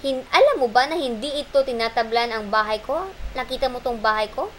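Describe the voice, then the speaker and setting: A woman voicing a gentle but crafty turtle in an amateur puppet show, with a plaintive, pleading manner. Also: fairly high pitch, a little fast, slight background noise, close to an everyday voice. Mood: mock pleading.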